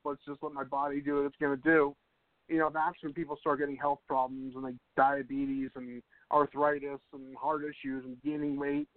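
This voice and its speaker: A man's voice: fairly high pitch, dry recording. A man in his mid-thirties talking casually on a radio show, often restarting his sentences and laughing easily. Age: 30s. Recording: dry, tinny phone-like line.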